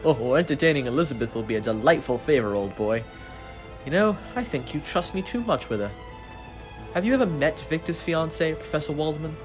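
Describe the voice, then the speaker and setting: An actor playing a witty, easygoing young gentleman in a radio play, bantering in old-fashioned phrases. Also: a little high, expressive, fairly quick, over music, lightly acted. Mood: wry, teasing. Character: witty, easygoing young gentleman, scientist's teasing best friend. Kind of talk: dramatic radio play acting.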